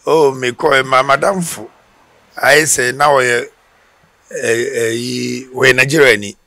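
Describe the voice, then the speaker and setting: A middle-aged Ghanaian man with a Ghanaian accent, a former footballer telling his story in a relaxed way, with restarts, in a casual outdoor interview on a headset mic. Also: medium pitch, slow pace, creaky, no room sound. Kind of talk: casual interview in Twi mixed with English. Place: outdoor porch by brick wall.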